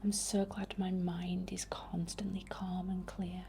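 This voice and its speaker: A woman with a Northern English accent speaking in a breathy whisper, gentle and unhurried, in the reassuring style of spiritual ASMR. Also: on the low side, completely flat delivery, a little hiss or hum. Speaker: ASMR creator and witch.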